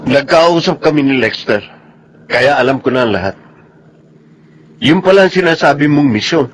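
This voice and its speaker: A jealous, wounded boyfriend played by a man with a Filipino accent. He speaks in a fairly high male voice, reproachful and solemn, in radio drama style. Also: lively intonation, normal speed, a little hiss or hum, close to an everyday voice, poor audio. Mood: hurt, reproachful.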